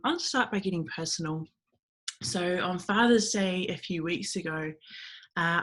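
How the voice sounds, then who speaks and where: A young woman with a New Zealand accent giving a relaxed, chatty recorded talk to a church group from home, with frequent ums. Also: on the low side. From Fiji. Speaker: guest speaker at a ministry meeting.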